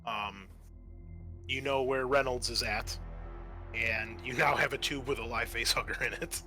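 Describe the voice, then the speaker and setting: Male game master, forceful bright tone, halting improvised narration, music behind him. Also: mid-range voice, fairly even intonation. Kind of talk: narrating a tabletop roleplaying game.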